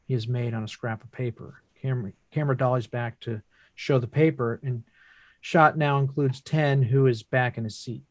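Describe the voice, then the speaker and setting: A man's voice: medium pitch, steady tone, moderate pace, no echo. Man narrating stage directions, dry sound. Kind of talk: scripted narration.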